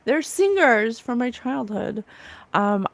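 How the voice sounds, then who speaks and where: A fairly high-pitched woman with a gravelly, slightly rough and raw voice. She hosts a spiritual livestream in a casual, chatty way. She talks earnestly and gushes about love. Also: animated, slow, no echo. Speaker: psychic medium livestream host. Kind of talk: casual livestream chat reading comments.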